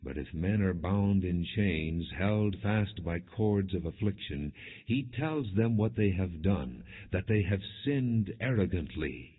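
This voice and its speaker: A man narrating scripture in a solemn, measured, declamatory way, as in an audio Bible. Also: deep voice, expressive, slightly rough. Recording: phone-like narrow band, long hall reverb.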